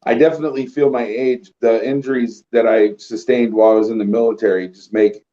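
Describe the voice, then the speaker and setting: A man's voice: medium pitch, steady tone, fairly quick, mellow, highs cut off. A man in his late forties speaking as a guest on a livestream in a plainspoken, matter-of-fact way. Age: 40s. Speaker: guest on the stream. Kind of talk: casual livestream chat.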